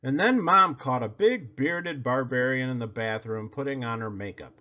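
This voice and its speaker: A man reading a children's story aloud in a theatrical, expressive way, stretching out words for effect. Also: mid-range voice, moderate pace. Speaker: storybook reader. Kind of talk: animated children's read-aloud. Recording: slightly dull, lo-fi audio.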